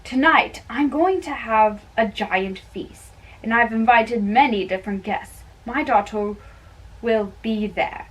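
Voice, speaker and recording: A woman doing an amateur dramatic reading of a play, haltingly, often repeating and correcting her lines. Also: on the high side, fairly bright tone, distant mic, rough recording.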